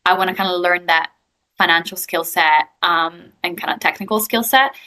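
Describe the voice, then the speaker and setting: Bright-voiced Italian woman, chatty. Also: fairly low pitch, moderate pace. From Italy. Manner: chatty, frequent ums and likes. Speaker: guest, growth equity investor. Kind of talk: casual interview answers.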